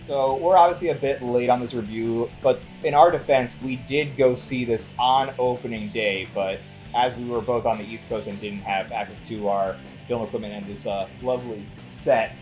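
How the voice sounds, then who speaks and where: A man's voice: medium pitch, normal speed, a little mellow, faint music behind. A man co-hosting a casual, conversational film review show. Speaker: co-host of a movie review show.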